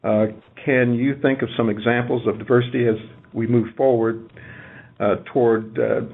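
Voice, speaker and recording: Gravelly man on a tinny line. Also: mid-range voice, fairly even intonation. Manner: halting, hesitant delivery. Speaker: webinar co-presenter. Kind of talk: posing a question in a webinar. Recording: tinny phone-line webinar audio.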